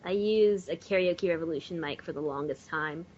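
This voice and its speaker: A woman's voice: sits low, moderate pace, darkish tone. A woman chatting casually as a panelist on a podcast, recorded on a dull lo-fi voice chat. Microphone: USB or desktop mic covered with a sock.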